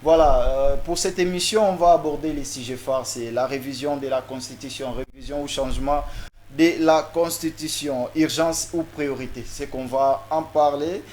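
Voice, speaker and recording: A man's voice: medium pitch, normal speed, a little mellow, a little hiss or hum. A man with a Congolese French accent hosting a talk show, formal in address but often hesitating and repeating words. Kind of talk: presenting and interviewing on a talk show.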